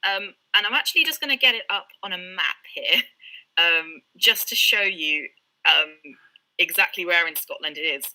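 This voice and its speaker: A woman's voice: lowish voice, expressive, normal speed, bright, forceful tone, rough recording. A British woman tutor explaining things in an online live session, with frequent ums and light laughs. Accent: British English. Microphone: video call.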